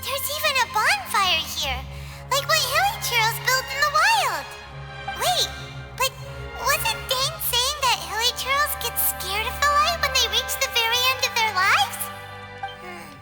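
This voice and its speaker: A woman voicing a tiny, childlike, curious fairy companion in a video game, with a squeaky, expressive voice. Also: unhurried, heavily acted. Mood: musing, curious. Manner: speaks of herself in third person, expressive. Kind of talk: video game cutscene voice acting. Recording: long hall reverb, music behind.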